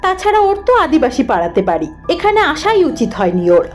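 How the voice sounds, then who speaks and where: A woman narrating a scripted horror cartoon story in the first person, dramatic and expressive. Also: high voice, brisk, faint music behind.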